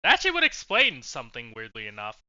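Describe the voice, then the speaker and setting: A man commentating on a game in a loose, jokey way, swearing freely. His voice is sharp and animated, swinging widely in pitch. The mic sounds dull and lo-fi, with game music faint behind. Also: fast, no echo. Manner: swearing, jokey, laughing often. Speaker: let's play streamer. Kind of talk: casual gameplay commentary.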